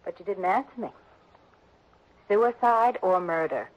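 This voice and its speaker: An actor playing a calm, composed young heiress who presses the detective with questions, in a 1960s radio drama. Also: female voice, mid-range voice, unhurried, natural voice. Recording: dull lo-fi old radio broadcast.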